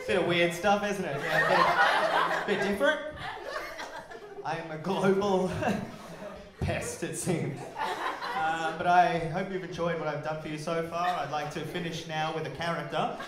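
Brief: Australian male comic, 30s, deadpan and awkward, high-ish voice, echoey comedy club. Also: unhurried, heavy background noise. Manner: awkward, deadpan, bursts into silly songs. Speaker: stand-up comedian. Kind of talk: absurd stand-up set. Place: comedy club stage with audience. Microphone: stage mic on stand. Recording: roomy club sound.

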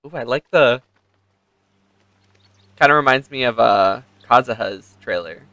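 A man's voice: medium pitch, unhurried, bright, forceful tone, no room sound, low-quality recording. A young man giving casual reaction commentary as a game streamer.

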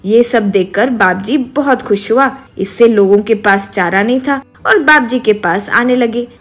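A woman's voice: a little high, a little slow, soft background music. A woman narrating a scripted storybook tale as the narrator.

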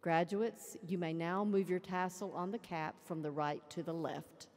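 A woman's voice: fairly low pitch, fairly even intonation, moderate pace, darkish tone, a little hiss or hum. Woman proclaiming at an arena lectern. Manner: ceremonial, proclaiming. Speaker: college president. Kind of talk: formal commencement address. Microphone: lectern microphone. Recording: long arena reverb.

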